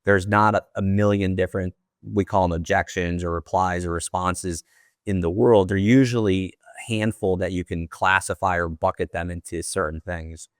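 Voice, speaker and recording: A man's voice: deep voice, steady tone, moderate pace, dry recording, studio quality. A man hosting a conversational interview, chatty and effusive, often doubling back on his words. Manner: chatty, effusive, repeats himself. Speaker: podcast host.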